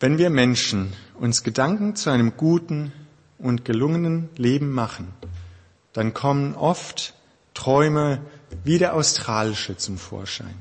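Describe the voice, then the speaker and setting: A German man preaching a sermon in a thoughtful, conversational way, pausing often with hesitations. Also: medium pitch, animated, breathy edge, long reverb tail. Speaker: pastor.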